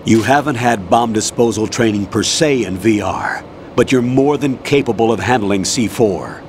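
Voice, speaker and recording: A man's voice: on the low side, normal speed, slight background noise, lightly acted. An actor playing a male military colonel who gives orders in a firm, commanding way over a radio call. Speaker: commanding officer. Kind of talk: radio call in a game cutscene.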